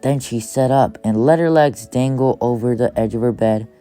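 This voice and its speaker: A woman's voice: rumbling low, moderate pace, mellow, smooth. A woman narrating a children's storybook as an audiobook reader, with music behind her.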